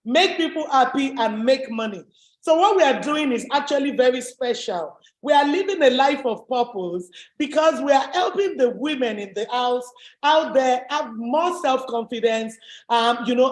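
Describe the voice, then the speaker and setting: A woman with a deep voice, giving an emphatic, motivational webinar talk as a business coach. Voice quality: deep, low voice. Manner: emphatic, repetitive, rallying. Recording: echoey room.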